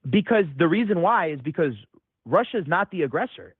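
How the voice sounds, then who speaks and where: A caller from Metro Detroit arguing heatedly, his voice jumping high when he gets worked up. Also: brisk, slightly rough, no room sound. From Metro Detroit, USA. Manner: excitable, voice jumps high when agitated. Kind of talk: heated call-in debate. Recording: tinny phone line.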